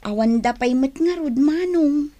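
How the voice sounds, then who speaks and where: A woman's voice: sits high, measured pace, dark tone. A woman with a Filipino accent playing a chatty, complaining fruit stall worker in a radio drama. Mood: gossipy, complaining. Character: grumbling, gossipy female fruit stall worker. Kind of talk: Ilocano radio drama acting.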